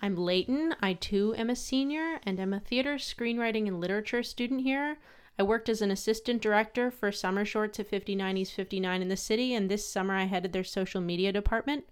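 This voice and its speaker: A woman's voice: mid-range voice, brisk, smooth. A woman in her early twenties co-hosting a student podcast with a semi-scripted introduction. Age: early 20s.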